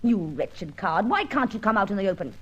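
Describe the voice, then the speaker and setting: An actress playing a sharp-tongued, upper-class kidnapped heiress in an old-time radio detective drama, scornful, imperious and snappish. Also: female voice, mid-range voice, big pitch swings, fairly quick, slightly rough, muffled recording.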